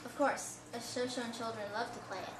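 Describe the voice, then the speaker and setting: Young woman as a high-voiced defiant girl, echoey. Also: fairly even intonation, brisk, distant mic, close to an everyday voice. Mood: questioning. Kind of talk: amateur puppet show acting. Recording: echoey room with clear background noise.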